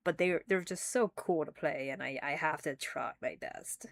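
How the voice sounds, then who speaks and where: A young woman chats her way through a live stream, rambling, restarting her sentences and giggling often. Her voice sits a little low and sounds slightly congested. The sound is dry and close, with no room echo. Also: moderate pace, vocal fry. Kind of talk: casual live stream chat.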